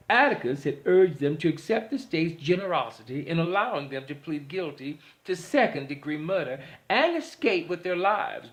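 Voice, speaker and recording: Man narrating a novel aloud. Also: mid-range voice. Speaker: audiobook reader. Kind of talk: scripted literary narration read aloud.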